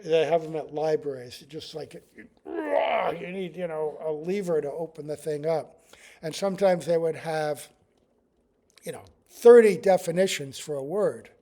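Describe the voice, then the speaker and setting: A man giving a devotional class, talking in a relaxed and rambling way. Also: on the high side. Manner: chants prayers slowly, then talks in a relaxed, rambling way. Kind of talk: devotional class with chanted prayers.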